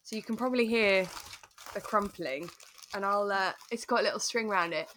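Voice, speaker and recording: A British woman co-hosting a podcast in a relaxed, chatty way, talking casually as if with a friend. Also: mid-range voice, brisk, slight background noise. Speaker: podcast co-host. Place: remote internet recording, dry room.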